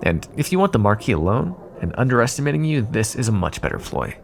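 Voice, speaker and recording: A man's voice: medium pitch, very animated, fast, gravelly, slight background noise. A man playing a sly, teasing vampire in an intimate, sarcastic ASMR roleplay monologue. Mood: bossy, scheming. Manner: sarcastic, teasing, drawling asides. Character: sly teasing male vampire bargaining with his hunter rival.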